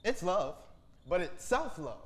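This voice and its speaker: A man's voice: sits high, big pitch swings, unhurried, a bit bright, exaggerated voice. A man playing a stubborn, cynical, self-loving young worker in a staged play reading. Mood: stubborn debate.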